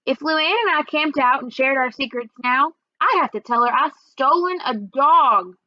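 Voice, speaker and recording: A woman with a high voice reads a children's book aloud as its first-person narrator. Her delivery is expressive and measured. The recording is very dry and slightly dull. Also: no room sound. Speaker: read-aloud storyteller.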